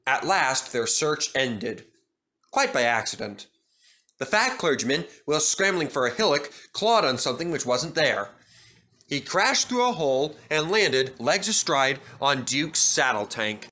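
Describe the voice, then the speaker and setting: Bright, animated male storybook narrator. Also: a little high, unhurried. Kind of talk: scripted children's storybook narration.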